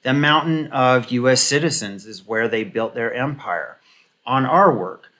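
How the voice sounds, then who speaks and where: A man hosting an off-the-cuff political commentary video, giving opinions in a halting, rambling, casual way. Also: medium pitch, expressive, bright, forceful tone.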